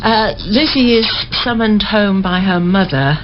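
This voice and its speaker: A woman's voice: medium pitch, unhurried. Woman, middle-aged, hesitant chatty interview guest, muffled audio, noticeable noise. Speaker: interview guest, amateur actor. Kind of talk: casual radio interview. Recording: dull, clearly noisy recording.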